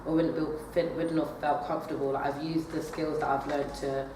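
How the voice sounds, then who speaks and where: A woman's voice: deep voice, flat delivery, fairly quick. A young woman, a drama student, answering interview questions hesitantly with many fillers and restarts, recorded from a distance in an echoey room. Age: young adult. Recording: echoey room, far from the mic, noisy.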